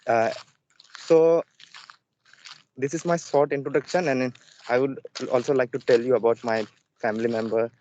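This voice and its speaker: A man's voice: mid-range voice, fairly even intonation, normal speed, pauses often, a little mellow, dull audio, slight background noise. Hesitant young man from Jharkhand, native Santhali speaker. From Jharkhand, India. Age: young adult. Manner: hesitant, many fillers, polite "ma'am". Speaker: student, English learner. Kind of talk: video call conversation practice. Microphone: video call.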